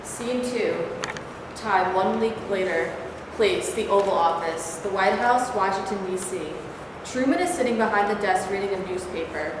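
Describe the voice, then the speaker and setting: A woman's voice: medium pitch, moderate pace. A woman reading scripted stage directions at a staged play reading. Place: large room at a live staged reading. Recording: very echoey hall, far off, noisy.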